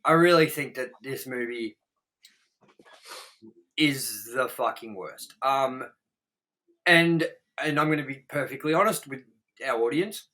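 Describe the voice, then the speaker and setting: A man's voice: medium pitch, unhurried, long pauses, pushed and bright, poor audio. A man co-hosting a casual film review chat, speaking bluntly and emphatically with plenty of swearing. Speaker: co-host of a movie review show.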